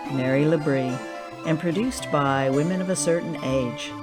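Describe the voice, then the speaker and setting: A woman reading scripted narration and credits as the narrator of an audio drama podcast. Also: low-pitched. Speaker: narrator, writer and director. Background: music.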